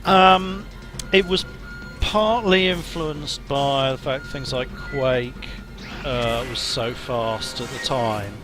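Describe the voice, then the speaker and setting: A man, a game developer, chatting hesitantly on a live stream while playing, somewhat hoarse as he loses his voice. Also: mid-range voice. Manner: hesitant, many ums and restarts. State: losing his voice, coughing. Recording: music playing behind.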